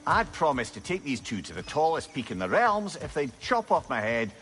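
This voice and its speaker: Casting: witty old sage, a talking head, lively inflection, wry and wordy, game noise behind. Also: male voice, on the high side, moderate pace. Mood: storytelling. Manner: wry, florid wording. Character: witty, sardonic, learned old male advisor, a talking severed head. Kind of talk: video game dialogue acting.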